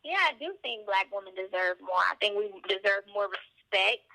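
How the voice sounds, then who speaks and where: A woman's voice: medium pitch, lively intonation, vocal fry, pushed and bright, rough recording. A woman guest host on a podcast, talking passionately in a loose flow full of fillers. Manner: passionate, fast-flowing with many fillers. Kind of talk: casual podcast chat.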